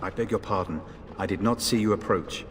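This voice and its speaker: A man's voice: sits low, a little fast, natural voice. A man playing a courteous, earnest knight in a fantasy video game. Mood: polite. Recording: reverberant, background ambience noise.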